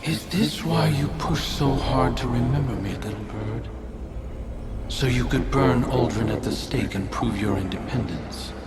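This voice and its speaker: An actor playing a taunting, bitter spectre of a fallen prince in a video game, sardonic and theatrical. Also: male voice, mid-range voice, lively intonation, measured pace, lightly acted. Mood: mocking. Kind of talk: dramatic video game acting. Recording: loud music under the voice.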